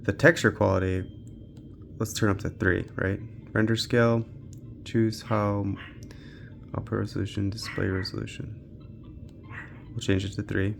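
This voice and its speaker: A man's voice: fairly low pitch, little pitch movement, a little slow, vocal fry, long pauses, noisy background. A man giving an unscripted, casual tech walkthrough, thinking aloud informally as he tests games on a handheld. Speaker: tech reviewer hosting a handheld gaming channel.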